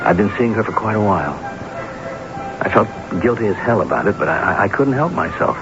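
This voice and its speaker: Low-voiced, guilt-ridden husband played by a man. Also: animated, a little fast, over music, natural voice. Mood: guilty, confessional. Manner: stammering, repeats words, earnest. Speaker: actor in radio drama. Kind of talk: radio mystery drama acting. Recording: noisy, muffled old radio broadcast recording.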